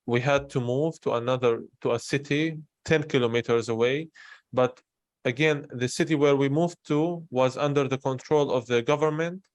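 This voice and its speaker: A man's voice: mid-range voice, steady tone, moderate pace, no echo. Young man talking candidly, close dry sound. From Syria. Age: young adult. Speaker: interviewer in a conversation. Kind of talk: candid personal conversation.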